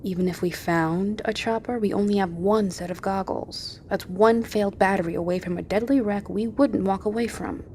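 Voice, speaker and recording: A woman voicing a hard-edged young woman on the run in an audio drama, hurt and in pain. She speaks tense and clipped at a mid pitch, with a faint low rumble under her voice. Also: normal speed, few pauses, a little mellow, lightly acted. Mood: urgent. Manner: tense, clipped, often whispering. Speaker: wounded survivor.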